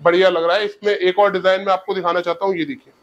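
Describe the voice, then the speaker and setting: A young man from India in a shoe showroom, giving a fast sales pitch on a lapel mic. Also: flat delivery, dull audio. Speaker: shoe seller. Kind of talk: product showcase.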